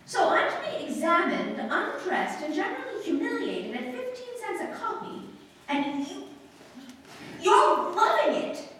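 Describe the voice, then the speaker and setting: An actress playing a haughty, theatrical young society bride in an old-time radio comedy, performed live on stage. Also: female voice, very high-pitched, sing-song, unhurried, a bit bright, full character voice. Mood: indignant, imperious. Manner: grand, theatrical, mock-gracious. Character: haughty young society bride, imperious, gushing with mock sweetness. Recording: echoey stage hall, distant mic, faint noise.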